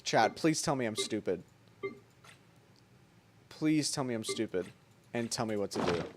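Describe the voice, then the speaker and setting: A man's voice: fairly low pitch, animated, measured pace, a little hiss or hum. A man chatting casually on a gaming livestream in a playful, self-deprecating way. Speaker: game livestreamer. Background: game music.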